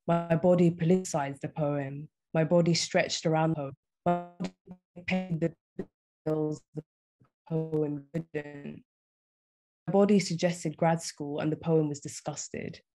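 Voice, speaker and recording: Young woman poet reciting, low mellow voice, slow careful delivery of each line. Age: young adult. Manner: measured, deliberate recitation. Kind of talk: poetry reading. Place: small indoor room, on a video call.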